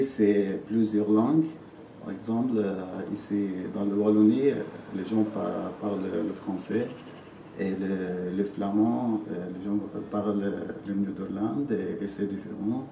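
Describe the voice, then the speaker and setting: A man in his twenties from Syria, speaking haltingly in French as an interviewee, full of fillers and restarts. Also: fairly low pitch, gravelly, warm tone. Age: 20s. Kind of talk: hesitant testimony in a learned language. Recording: roomy and noisy, from a distance.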